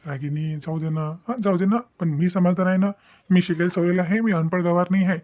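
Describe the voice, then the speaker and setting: A man's voice: high voice, fairly even intonation, fairly quick, a little mellow, dry recording, close to an everyday voice. A man playing a protective young husband in a cartoon family drama, insistent and lecturing, with frequent questioning hums. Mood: calm reasoning. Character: young husband, protective, reasoning and gently scolding. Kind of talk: amateur Marathi cartoon melodrama dialogue.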